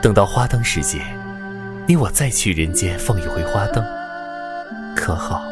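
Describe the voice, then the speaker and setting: Casting: smooth young lord in love, devoted and teasing, low voice with sweeping intonation, music underneath. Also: male voice, very animated, moderate pace. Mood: earnest, tender. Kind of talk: Chinese audio drama acting.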